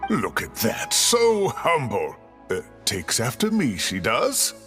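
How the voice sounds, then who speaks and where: A jovial old scholar booms along with hearty self-satisfaction, his voice swooping widely up and down at a middle pitch. Music runs clearly behind him. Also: wildly swooping pitch, slow pace, a bit bright, exaggerated voice. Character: older male scholar, jovial and boastful. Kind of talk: video game cutscene acting. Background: background music.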